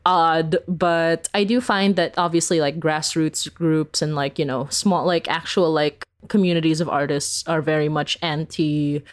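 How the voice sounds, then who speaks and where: A woman's voice: low voice, fairly even intonation, unhurried, few pauses. A woman speaking casually and informally as an interview guest, chatty and often amused. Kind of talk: casual conversational interview answers.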